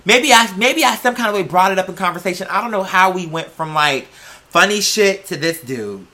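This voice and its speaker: A host in a casual comic rant, telling a story in a sassy, profane, emphatic and animated way. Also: medium pitch, fairly quick.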